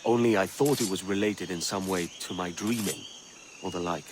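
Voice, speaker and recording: An actor playing a brash, sceptical young pirate captain in a video game cutscene. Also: male voice, low-pitched, normal speed, noisy background, close to an everyday voice. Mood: puzzled, curious.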